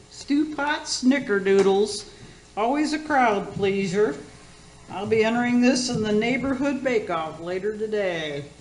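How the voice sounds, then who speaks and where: A woman's voice: fairly high pitch, a little hiss or hum. A woman playing a batty, boastful older aunt who hosts a radio cooking show in a comic radio play, with a folksy, theatrical, catty manner. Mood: proud, chirpy. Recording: roomy echoing sound.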